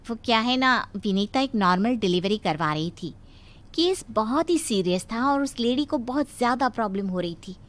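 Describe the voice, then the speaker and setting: A woman's voice: on the high side, expressive, normal speed, natural voice. A woman voicing an explanatory, reassuring hospital doctor in a cartoon moral story. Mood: explaining.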